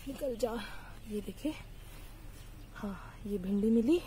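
A woman's voice: medium pitch, takes it slowly, noisy background. A young woman vlogging casually from her sunny outdoor vegetable garden, chatting to viewers as friends with plenty of fillers while filming on a handheld phone. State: hot and bothered by sun and mosquitoes. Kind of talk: casual garden tour vlog. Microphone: handheld phone camera.

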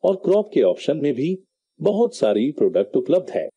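Husky man narrating a screen tutorial. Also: fairly high pitch, very animated, moderate pace, warm tone, dry recording. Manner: instructive, explains each click. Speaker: tutorial channel host. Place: voice-over for a screen recording.

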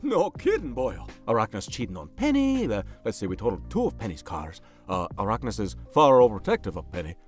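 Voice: mid-range voice, huge pitch swings, fairly quick, hardly any pauses, soft background music. An actor voicing a jovial male python demon, a former arena champion, chatty and blunt in a comic dub. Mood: wry. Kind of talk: animated comic dub audio drama.

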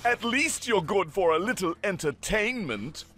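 A very high, wildly swooping villain knight, haughty and sneering. He stretches his words slowly with pompous mockery, a theatrical video game bad guy over background music. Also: sing-song, a bit bright, slight background noise, exaggerated voice. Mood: indignant, mocking. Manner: pompous, mocking, drawn-out delivery.

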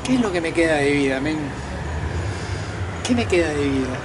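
A man in his fifties from Peru, talking straight to the camera outdoors at night in a casual, slangy, animated monologue. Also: fairly high pitch, moderate pace, background noise. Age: 50s. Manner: animated, talks to viewers directly with slangy asides. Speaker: vlogger and self-declared political candidate. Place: outdoors at night on a balcony over a lit city.

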